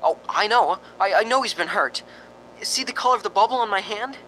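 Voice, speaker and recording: A man's voice: lively intonation, fairly quick, pushed and bright, rough recording. A man playing a frightened young radio host unraveling into madness, jittery and theatrical in a radio drama. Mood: lonely, anxious. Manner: theatrical, jittery, stammering and giggling. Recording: faint rumbling background noise.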